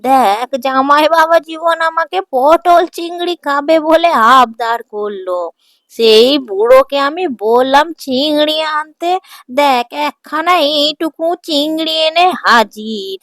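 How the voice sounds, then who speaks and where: A woman's voice: high-pitched, takes it slowly, fairly bright tone, no room sound, clean studio sound. A woman voicing an exasperated, scolding older village wife and mother in a cartoon folk tale, with a dramatic, nagging manner. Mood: complaining. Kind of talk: Bengali cartoon fairy-tale dialogue.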